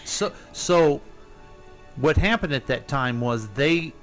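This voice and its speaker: A man's voice: mid-range voice, lively intonation, slow pace, fairly bright tone, faint music behind. A man hosting a casual show, bantering and asking questions in a playful, teasing way. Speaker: radio show host.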